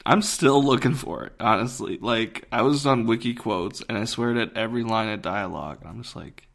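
A low, gravelly man chatting casually on a podcast. His delivery is dry and offhand, trailing into mumbles as he looks things up. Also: fairly quick, a bit bright. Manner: dry, self-deprecating, mumbles while searching online. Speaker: podcast co-host. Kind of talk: casual chat.

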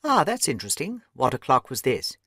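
Man doing a quick keen detective, crisp questions, dry close sound. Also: medium pitch, huge pitch swings, fairly bright tone, dry recording, heavily acted. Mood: probing. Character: keen, brisk male detective.

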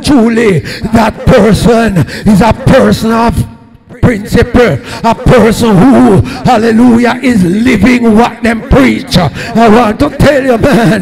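Jamaican male pastor, high voice, slow fervent delivery, handheld mic in a church hall. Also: vocal fry. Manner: shouting, peppered with hallelujahs, gesturing at a pulpit. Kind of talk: fiery Pentecostal sermon. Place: large church hall with red curtains.

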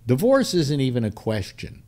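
An older man hosting a casual video-call chat, rambling with halting restarts and self-mocking jokes. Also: mid-range voice, wildly swooping pitch, a little mellow. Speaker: host. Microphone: webcam on a video call.

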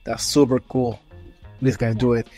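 A man's voice: medium pitch, flat delivery, normal speed, dark tone, dry recording, soft background music. A man in his thirties hosting a casual online interview, effusive, jokey and rambling, close on a desk microphone. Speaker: interview host. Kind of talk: casual hosting, channel plugs. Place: indoor room with streaming setup. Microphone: desk microphone, close, with headset.

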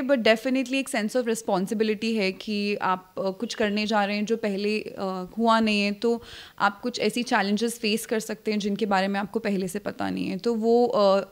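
A woman's voice: mid-range voice, steady tone, measured pace. A young woman with an Indian accent answering interview questions as an airline pilot, switching between two languages. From Jammu, India. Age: young adult. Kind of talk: interview answers mixing Hindi and English. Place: indoor living room, seated interview. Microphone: handheld reporter mic held toward the speaker.

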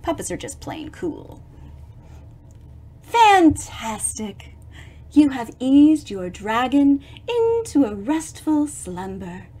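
Very animated, high-voiced woman reading storybooks. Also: slow pace, slightly rough. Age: middle-aged. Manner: theatrical, exclaiming, stretching words for young listeners. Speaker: children's storybook reader. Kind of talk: expressive read-aloud of a picture book with chatty asides on the pictures.

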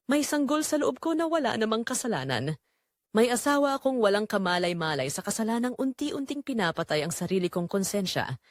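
A woman playing a guilt-ridden pregnant young wife, telling her secret as an anguished, measured, first-person dramatic confession. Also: mid-range voice, no echo, clean studio sound, close to an everyday voice.

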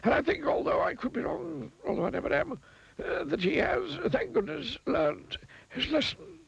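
A man's voice: very high-pitched, animated, normal speed, creaky, dull audio, a crowd in the background, voice pushed up, heavily acted. A man voicing a pompous, long-winded storybook wizard who is kindly but stern and rambles through qualifications. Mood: pompous, satisfied.

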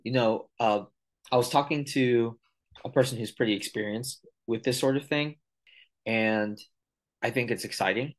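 A man's voice: medium pitch, normal speed. A man in his thirties co-hosting a casual podcast over a video call, thinking aloud and reaching for analogies. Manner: thoughtful, searching for words, uses analogies. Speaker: podcast co-host.

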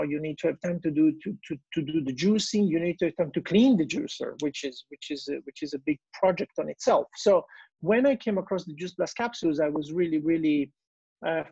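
A man's voice: a little high, gravelly, a little mellow, husky, dry recording, lo-fi sound. A man in his forties with an Italian accent, giving a business presentation over a video call, hesitant with many fillers and restarts. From Italy. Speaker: guest speaker. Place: small room at home, indoors. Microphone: earbuds on video call.

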